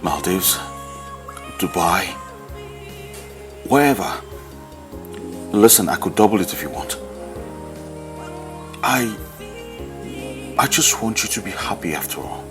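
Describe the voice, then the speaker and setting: An actor playing a calm, wealthy man in a subdued film drama scene. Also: deep voice, takes it slowly, long pauses, over music. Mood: calm, persuasive. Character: calm rich man paying someone off.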